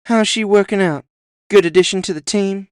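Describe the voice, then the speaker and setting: A man's voice: sits high, moderate pace, clear, resonant tone, dry recording, clean studio sound, voice pushed up, lightly acted. A man voicing a sassy, teasing woman who talks with easy familiarity, in a cyberpunk audio story. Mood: sly, curious. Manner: sassy, familiar, calls people honey. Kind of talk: audio fiction character voice.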